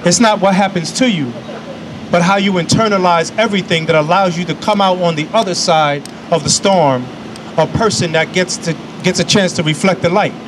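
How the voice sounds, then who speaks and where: A man's voice: high-pitched, normal speed. A middle-aged man from New York giving a preacherly, emphatic speech at an outdoor podium microphone, repeating his key phrases. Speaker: invited speaker. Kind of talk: speech at an outdoor public event. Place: outdoor park lawn by a city building. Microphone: podium microphone on a stand. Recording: outdoor traffic noise. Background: city traffic and street ambience.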